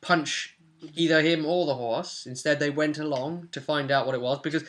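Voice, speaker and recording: A man's voice: fairly high pitch, steady tone, normal speed, fairly bright tone. A young man, a game master, casually recapping a tabletop roleplay session at home. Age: young adult. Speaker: game master and vidcast host. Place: small room at home, indoors.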